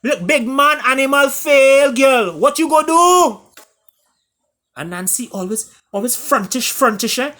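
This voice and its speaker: Guy doing a scornful storybook animal heckler, caribbean accent, high and loud, taunting. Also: animated, unhurried, heavily acted. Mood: scornful jeering. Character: jeering chorus of scornful animal busybodies.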